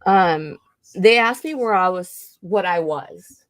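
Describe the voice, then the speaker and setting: A woman's voice: lowish voice, lively intonation, slow, no echo. A woman from Texas co-hosting a casual talk show, a chatty storyteller who laughs often. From Texas, USA. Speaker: co-host. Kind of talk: casual chat.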